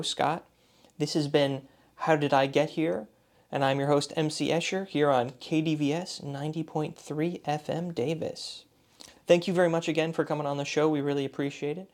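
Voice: mid-range voice, a little mellow. A radio show host interviewing a guest, asking curious, rambling questions full of likes and fillers.

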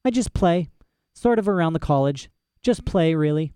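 A man's voice: a little high, lively intonation, normal speed, mellow, clear, resonant tone, no echo, studio quality, lightly acted. A man voicing a young girl, evasive and eager. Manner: mumbling, evasive, then eager. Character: curious defiant young girl.

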